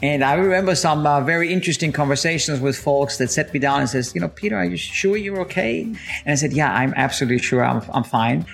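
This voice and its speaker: A man's voice: mid-range voice, vocal fry. Man, 50s, English, candid storyteller guest, chatty with restarts. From Austria. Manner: animated, self-deprecating, with frequent fillers and restarts. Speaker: guest, company CEO. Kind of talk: storytelling interview answers.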